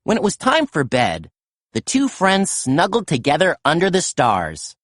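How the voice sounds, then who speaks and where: A woman narrating a children's story as an animated read-aloud storyteller. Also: deep voice, a little slow, no echo, clean studio sound.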